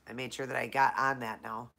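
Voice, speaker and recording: A woman's voice: very deep voice, flat delivery, a little fast, creaky, bright, forceful tone. A woman from Minnesota hosting a casual live Q&A, chatting with viewers by name, her nose stuffed up from allergies. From Minnesota, USA. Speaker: live-stream host.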